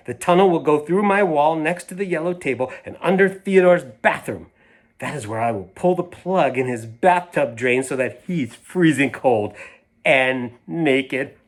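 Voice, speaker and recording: A woman's voice: low-pitched, expressive, a little slow. A man voicing a sulky, indignant little girl from a storybook, making pompous, emphatic declarations. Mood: scheming.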